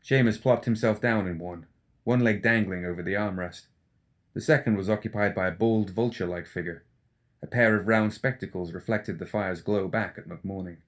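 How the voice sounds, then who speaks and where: A man's voice: on the low side, steady tone, normal speed. A man reading scripted fiction as an audiobook narrator. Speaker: audiobook reader.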